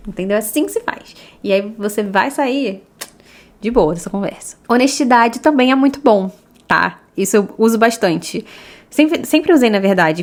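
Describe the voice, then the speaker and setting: Brazilian woman, Brazilian Portuguese accent, easygoing podcast host, mid-pitched, chatty and quick to laugh, close mic. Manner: chatty, laughs often between points. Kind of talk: casual podcast chat.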